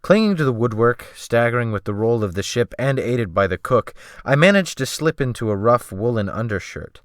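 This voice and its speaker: A man's voice: medium pitch, lively intonation, moderate pace, no echo. A man reading a dramatic first-person audiobook narration, close to the microphone. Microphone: close USB desktop microphone.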